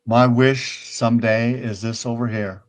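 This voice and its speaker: A man's voice: mid-range voice, fairly even intonation, a little slow. A retired older man hosting a casual show, touring a virtual world and chatting in a rambling, conversational way, often checking in with the listener. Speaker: show host.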